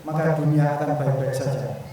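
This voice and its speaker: A man, a brigade commander, giving a formal anniversary speech at a ceremony, deliberate and pausing often with fillers. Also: a little high, fairly even intonation, dark tone. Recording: very echoey, distant mic, faint room noise.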